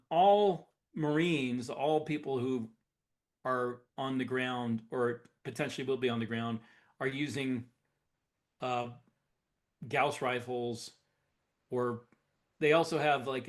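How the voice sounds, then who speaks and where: A man co-hosting a podcast, talking casually as a science fiction writer in an unscripted discussion. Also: medium pitch. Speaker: podcast co-host and military sci-fi writer.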